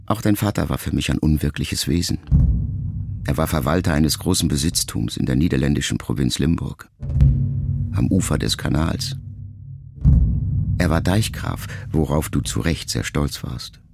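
A man reading a letter aloud as an audiobook, in a measured, reflective way. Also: a bass voice, vocal fry. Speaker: narrator. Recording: loud music underneath.

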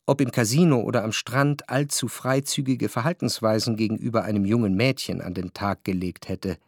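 A man playing an introspective narrator recalling his past love in a literary radio drama, measured and reflective. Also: medium pitch, a little mellow.

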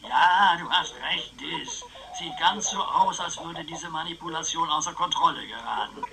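An actor playing an eccentric, scheming older uncle in a radio crime drama, with a sly, theatrical, musing way of talking. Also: male voice, on the high side, moderate pace, vocal fry, a crowd in the background, poor audio. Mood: resigned, uneasy. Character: eccentric, scheming old uncle, grandiose and philosophical.

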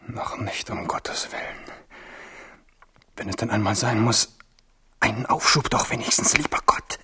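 A man's voice: lowish voice, a little slow, bright, forceful tone, whispered. Wily, intense young king. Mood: desperate pleading. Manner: theatrical, intense. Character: wily young captive king, outwardly deferential, inwardly anguished. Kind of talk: German radio play acting.

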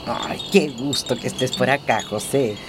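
A woman's voice: rumbling low, unhurried, noisy background. A woman playing a kindly old grandmother, teasing and welcoming, in a radio soap opera. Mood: delighted, welcoming. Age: older. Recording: music behind the voice.

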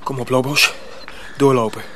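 Man acting a young mill lad in a radio play, earnest n secretive, medium pitch, bit of background noise. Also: fairly even intonation, normal speed, bright, forceful tone, natural voice. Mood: urgent, guiding. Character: earnest young mill apprentice, protective elder comrade, secretive.

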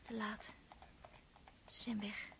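A woman's voice: mid-range voice, little pitch movement, slow, vocal fry, heavily acted. An actress playing an impulsive, lovesick young girl in a radio play, often whispering her thoughts to herself. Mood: whispering, wistful. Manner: hushed whispered inner monologue. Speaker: countess's daughter.

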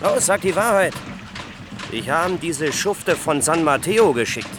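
An actor playing a gruff, distrustful, embittered male recluse and inventor in a German radio play. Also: fairly high pitch, animated, unhurried, noisy background. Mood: hostile, suspicious. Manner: snappish, distrustful.

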